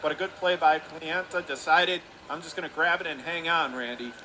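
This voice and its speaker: A man calling hockey play-by-play over the radio in a high, bright voice. He runs through the action at speed and surges into excited shouts at close chances. Faint arena noise sits under him. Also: rough recording. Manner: rapid, rising to excited shouts on close plays.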